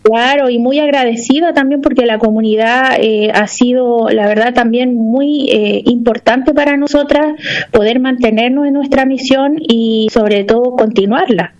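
A missionary nun with a Chilean accent, answering interview questions hesitantly with many fillers. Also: female voice, on the high side, steady tone, moderate pace, a little mellow, lo-fi sound.

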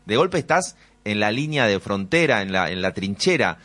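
A man's voice: on the low side, very animated, normal speed, fairly bright tone. A man with a Rioplatense Spanish accent, interviewing a guest and asking long, searching questions with fillers.

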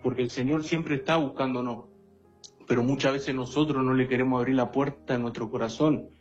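A man. He often repeats phrases for emphasis at an ordinary male pitch. The recording is somewhat dull and lo-fi, with faint music behind him. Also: fairly even intonation, a little fast, husky. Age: 20s. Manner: earnest, repetitive, often addressing listener as brother. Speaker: guest lay preacher. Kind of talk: personal testimony and exhortation.

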